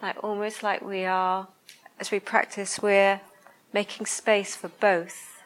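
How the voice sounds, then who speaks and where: Soft-spoken woman meditation teacher, hesitant and searching, gentle pauses between phrases. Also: medium pitch, level tone, poor audio. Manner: slow, hesitant, searching for words. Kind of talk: reflective dharma talk and guided meditation. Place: room with a listening group.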